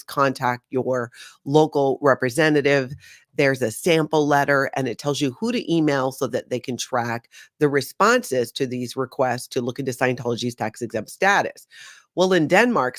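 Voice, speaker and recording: A woman's voice: a bass voice, dry recording. A middle-aged woman from Hawaii hosting a casual live stream from her home studio, bubbly and chatty, often rambling off topic. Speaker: host.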